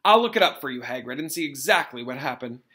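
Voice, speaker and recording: A man reading as earnest schoolgirl, bright voice. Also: medium pitch, expressive, fast. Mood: thoughtful. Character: earnest, supportive, bookish young schoolgirl.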